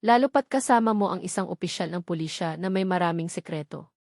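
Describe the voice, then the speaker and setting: A woman's voice: lowish voice, a little fast, gravelly, a little mellow, no echo. Corrupt mayor for story narration, read by a woman: smug, threatening, dry recording. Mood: menacing. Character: menacing, scheming male mayor making veiled threats.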